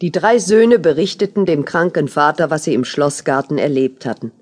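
A woman narrates a fairy tale from a script. Her voice sits fairly low, and she speaks as the storyteller of an audio play. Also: normal speed, darkish tone, breathy edge.

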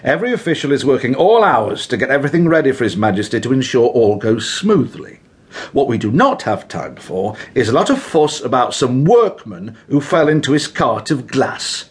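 Bossy tudor official, rough husky voice, really up and down, impatient and threatening, radio play. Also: male voice, mid-range voice, very animated, normal speed. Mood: brusque, commanding. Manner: brusque, commanding. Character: overbearing, menacing Tudor official, impatient and contemptuous. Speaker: senior official. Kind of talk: full-cast radio drama.

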